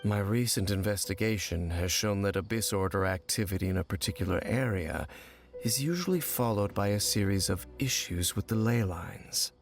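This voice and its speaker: A solemn, low-voiced wanderer. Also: male voice, lively intonation. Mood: measured. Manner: measured, formal, solemn. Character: grave, secretive adult male wanderer. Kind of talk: video game voice acting. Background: game soundtrack music.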